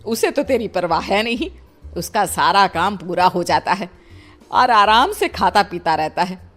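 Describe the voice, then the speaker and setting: A woman's voice: mid-range voice, lively intonation, a little slow, a bit bright. A woman voicing a protective elderly mother-in-law in a cartoon story, motherly, insistent and admonishing. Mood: scolding.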